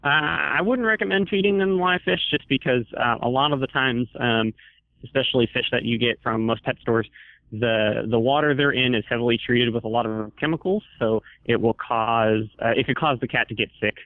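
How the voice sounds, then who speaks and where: A man's voice: mid-range voice, normal speed, no room sound. A man working as a store employee, explaining things patiently and hesitantly to a customer over the telephone. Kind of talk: customer service phone call. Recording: tinny, narrow phone line.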